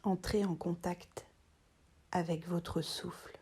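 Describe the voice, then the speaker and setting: Very breathy, slow-speaking woman guiding relaxation. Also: on the low side, fairly even intonation. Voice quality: soft, breathy, half-whispered. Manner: soothing, unhurried, long pauses between short phrases. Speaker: yoga teacher. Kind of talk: guided relaxation meditation.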